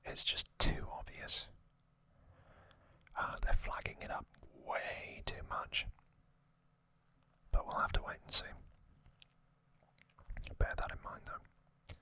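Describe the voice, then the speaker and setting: An ASMR host speaks entirely in a breathy whisper with a bright, crisp edge. The delivery is very slow and informal, arriving in short, halting phrases as in a relaxed recap for listeners.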